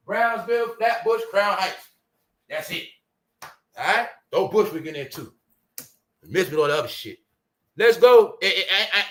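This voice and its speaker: High, bright-voiced New man ranting. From Brooklyn, New York. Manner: heated and profane, keeps addressing the audience with "y'all" and "bro". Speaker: livestream host. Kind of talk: ranting livestream commentary.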